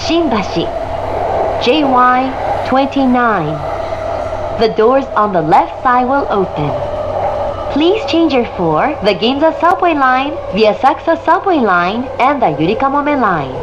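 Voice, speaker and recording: A woman reading a scripted train announcement in a measured, clearly enunciated way. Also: sing-song, dull audio. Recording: loud train noise throughout. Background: rumble of a moving train carriage.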